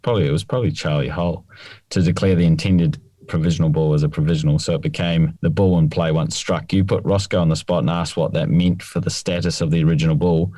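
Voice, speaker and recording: A man with a very deep, mellow voice gives careful, exact rule corrections and teases his co-host dryly. The recording is close and dry. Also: fairly even intonation, fast talker, no room sound. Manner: precise, correcting, dry teasing. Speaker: podcast co-host, rules expert. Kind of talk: casual podcast chat.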